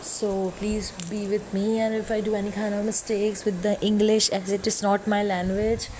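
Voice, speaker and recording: A woman's voice: mid-range voice, flat delivery, normal speed, a little mellow. Non-native reader, a woman whose first language is Urdu; hesitant, self-correcting delivery over a noisy background. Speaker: YouTuber reading an interactive story. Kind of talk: reading an interactive story aloud.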